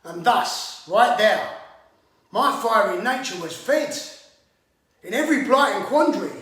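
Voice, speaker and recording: A man's voice: high-pitched, pushed and bright, full character voice. A man performing a theatrical monologue as a hot-tempered, swaggering brawler with mock-grand, sweary speech, in an echoey room. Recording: echoey room, distant microphone.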